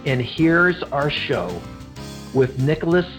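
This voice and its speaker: A man's voice: on the high side, sing-song, takes it slowly, music in the background. A man hosting a podcast interview, rambling with frequent fillers and restarts. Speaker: podcast host.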